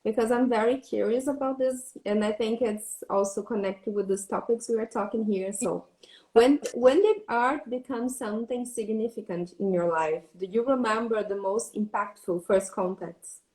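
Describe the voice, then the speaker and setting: A woman's voice: fairly high pitch, moderate pace, a little mellow. Earnest Brazilian woman in an echoey room. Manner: open, earnest, laughs often. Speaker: guest. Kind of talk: casual interview chat.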